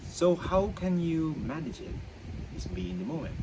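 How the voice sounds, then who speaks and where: A man's voice: a little high, sing-song. A young man, a student, talking unscripted about self-control, with a stutter that makes him halt and repeat himself. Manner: stutters, halting and repetitive. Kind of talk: unscripted reflective monologue. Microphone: own mic. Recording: loud background noise.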